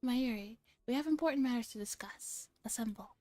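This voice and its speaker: A woman's voice: a little high, brisk, a little mellow, soft background music, natural voice. Woman reading as a pompous young male mad scientist. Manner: pompous, theatrical declarations. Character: grandiose young male self-styled mad scientist, paranoid schemer.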